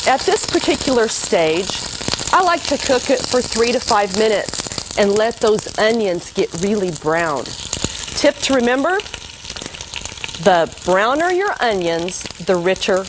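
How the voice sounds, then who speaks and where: A middle-aged woman in a kitchen, chatting through a recipe step by step as a cooking show host. Her voice sits at an ordinary pitch, with faint background noise and the sizzle of food in a pan. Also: big pitch swings, unhurried. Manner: chatty, homey, talks viewers through each step. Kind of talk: cooking demonstration. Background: sizzling pan and stirring.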